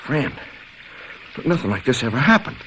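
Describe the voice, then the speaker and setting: Brash, haunted young hipster, stammering slang, very animated pitch, clear noise behind, radio drama. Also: male voice, normal speed, low-quality recording. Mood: shaken. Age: young adult. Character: brash young hipster punk, cocky but jittery and haunted.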